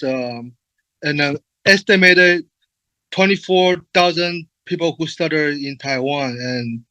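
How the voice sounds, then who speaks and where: A man with a fairly high, bright voice recorded dry and close, with no room sound around it. He speaks at a conference, filling pauses with "uh" and "um" and stuttering on repeated words. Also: no echo, rough recording. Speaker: presenter and moderator. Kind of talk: online conference talk.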